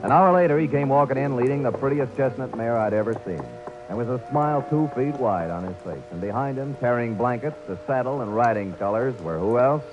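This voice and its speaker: An actor playing a wry, hard-boiled private detective with dry wisecracks, in 1940s radio acting. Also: male voice, mid-range voice, expressive, brisk, hardly any pauses, over music, natural voice. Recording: dull old radio broadcast.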